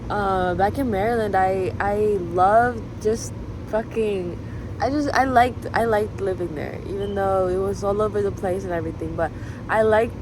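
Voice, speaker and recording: A young woman whose first language is Spanish, thinking out loud in a rambling, reflective monologue full of fillers, recorded outdoors. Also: mid-range voice, normal speed. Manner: musing, filler-heavy, drifting between thoughts. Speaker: vlogger. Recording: street and traffic noise behind.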